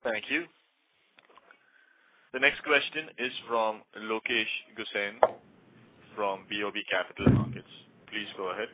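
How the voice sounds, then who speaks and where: A man's voice: fairly low pitch, steady tone, measured pace, long pauses. A man working as conference call operator, formally moderating the questions. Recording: choppy noisy phone line.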